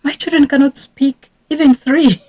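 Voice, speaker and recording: A woman's voice: sits high, fairly even intonation, normal speed, darkish tone. A woman from Rwanda, a teacher and parenting coach, talking casually and earnestly to camera about her own parenting regrets. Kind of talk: casual advice talk to camera.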